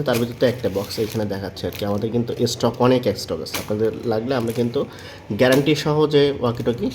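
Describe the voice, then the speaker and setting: Male seller, Bangladeshi accent, mid-pitched, informal explanatory demo delivery, some background noise. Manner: explanatory, repetitive, often checking listeners follow. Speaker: seller presenting a product. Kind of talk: informal product demonstration and sales pitch.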